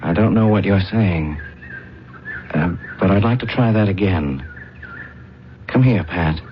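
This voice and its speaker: An actor playing a cold, emotionless humanlike alien in a radio drama, speaking in a formal, deliberate, detached way. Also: male voice, sits low, animated, slight background noise. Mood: puzzled, stiff. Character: cold, emotionless humanlike alien man bent on invading Earth. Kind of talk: radio drama acting with first-person narration. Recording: muffled radio drama recording, loud music behind.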